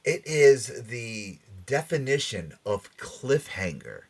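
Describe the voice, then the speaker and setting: A man's voice: mid-range voice, big pitch swings, slow. A man hosting a casual pop culture chat show, talking in an enthusiastic, emphatic, rambling way. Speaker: podcast and radio show host.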